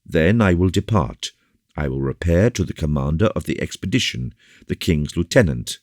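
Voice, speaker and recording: A man's voice: sits low, lively intonation, moderate pace, darkish tone, no room sound, studio quality. A man voicing an earnest, courteous young officer with an eager, agitated delivery in an audiobook. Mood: relieved, polite. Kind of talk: audiobook character dialogue.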